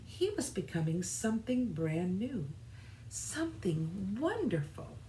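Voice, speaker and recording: A woman reading a children's storybook aloud in an expressive, deliberate way, as scripted narration. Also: medium pitch. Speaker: children's storybook reader, church music director. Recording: roomy echo, faint background noise.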